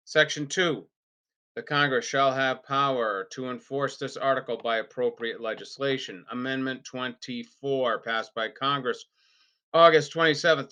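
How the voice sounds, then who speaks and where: A man with a crisp, forceful voice reads a formal document aloud. His delivery is deliberate and unrehearsed, breaking into halting phrases with emphatic openings. The recording is somewhat dull and lo-fi. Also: medium pitch. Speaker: reader.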